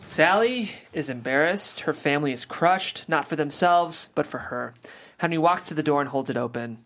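A woman reading stage directions aloud at a table read, in a plain, even way. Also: deep voice, moderate pace. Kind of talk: reading script action lines.

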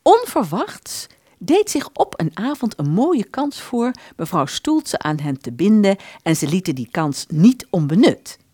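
Expressive woman narrator, dry close sound. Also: mid-range voice, a little slow, husky, no echo. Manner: expressive storytelling. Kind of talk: literary radio play narration.

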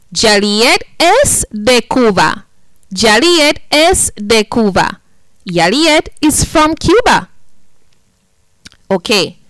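A woman running a bilingual classroom lesson, her voice on the high side and full of melody. She talks slowly and clearly, saying each point in Spanish and then again in English, and the sound is dry and close. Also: fairly bright tone, no room sound. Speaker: teacher.

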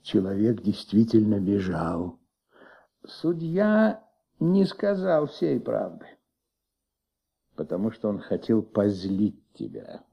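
An actor in a Soviet radio play playing a patient, shrewd middle-aged police commissaire with flu, questioning gently in a husky, creaky voice. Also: male voice, on the low side, sing-song, slow, dull audio, exaggerated voice. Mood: confiding, soothing. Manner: gentle, coaxing, paternal questioning.

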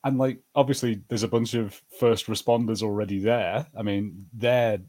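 Man, slightly low pitch, podcast co-host, casual chat, conversational banter. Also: steady tone, moderate pace, darkish tone. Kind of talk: casual pop-culture banter.

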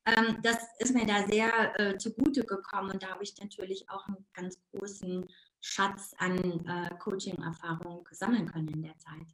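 A woman coach from the Ruhr area with a Ruhr German accent, talking in a casual interview, lively and bubbly, with words tumbling out and frequent laughs. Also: mid-range voice, normal speed, echoey room, rough recording.

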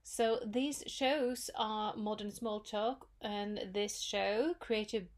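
A woman with a Norwegian accent hosting her own podcast, talking in a thoughtful, unhurried way with many pauses and fillers. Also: mid-range voice, steady tone. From Norway. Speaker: podcast host. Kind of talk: solo reflective podcast talk.

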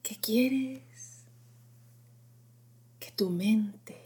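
A woman narrating a guided sleep meditation in a gentle, unhurried, whispery voice, in short phrases with long pauses. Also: a little high. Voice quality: breathy, whispery, often dropping into whisper.

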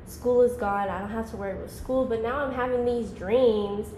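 A woman's voice: fairly high pitch, fairly even intonation, moderate pace, a little mellow, roomy sound, slight background noise, rough recording. A woman in her twenties, a podcast guest, talking in a laid-back, rambling way with lots of "like". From Georgia, US. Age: 20s. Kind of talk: casual podcast chat.

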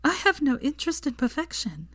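A woman voicing a caring, determined young woman in love, gentle, earnest and reassuring, in a romantic story reading. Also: high voice, big pitch swings, a little fast, no echo, clean studio sound, pitched above the performer's own voice. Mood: insistent.

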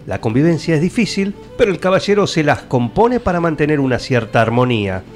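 A man with an Argentine Rioplatense accent, narrating a radio play in a measured, mock-solemn scripted storytelling style. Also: fairly high pitch, sing-song, soft background music.

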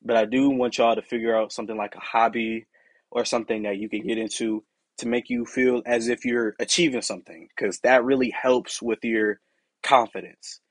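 A young man with a deep, raspy voice hosting his own podcast, talking in a candid, rambling way with lots of "you know" and "like". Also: fairly even intonation, normal speed, no echo. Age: young adult. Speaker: podcast host. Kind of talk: casual solo podcast monologue.

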